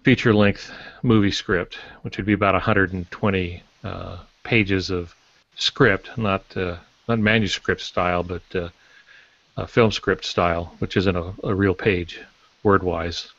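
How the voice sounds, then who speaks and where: An older man chatting casually as a podcast guest, telling anecdotes and pausing to search for words. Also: deep voice, steady tone, fairly bright tone. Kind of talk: casual panel talk, anecdotes. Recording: dull lo-fi roomy online audio.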